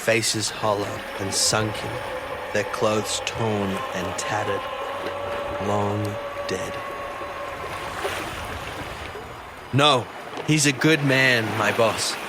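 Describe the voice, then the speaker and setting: An actor playing an earnest, haunted fisheries officer who tells his story haltingly in a first-person audio drama. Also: on the low side, fairly even intonation, heavy background noise. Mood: pleading, shaken. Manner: halting, earnest, stammering when pressed. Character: haunted male fisheries officer recounting a ghost-ship encounter. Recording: loud boat and sea ambience behind.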